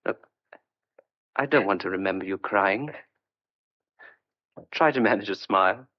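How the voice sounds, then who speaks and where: A man's voice: lowish voice, a little slow. An actor playing a desperate, stubborn but tender man on the run, speaking earnestly and hesitantly in old-fashioned clipped phrases in a radio thriller. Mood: tender, resigned. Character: desperate fugitive man wrongly suspected of murder, stubborn, tender. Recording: dull lo-fi old radio drama recording.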